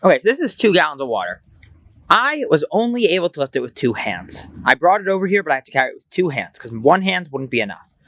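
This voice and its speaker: A man's voice: fairly high pitch, sing-song, fast talker, fairly bright tone. A young man reading aloud and explaining simple science for children as a host.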